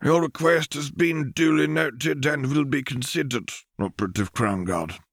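A man voicing a formal, unapologetic counselor of a secretive order, soft-spoken and officious, in a story reading. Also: fairly high pitch, normal speed, vocal fry, no room sound, clean studio sound, voice pushed up. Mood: cool, official. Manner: stiff, officious.

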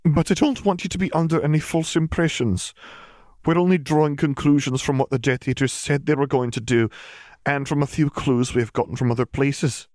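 Man voicing a stern older witch professor, pitched high. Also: steady tone, a little mellow, dry recording, studio quality, natural voice. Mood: grave, careful. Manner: measured, formal, explanatory.

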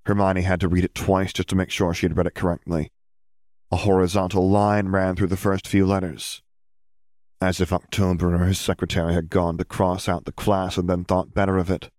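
Low-pitched man reading a story. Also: level tone, fairly quick, darkish tone, no echo, studio quality. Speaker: audiobook narrator. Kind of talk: scripted story narration.

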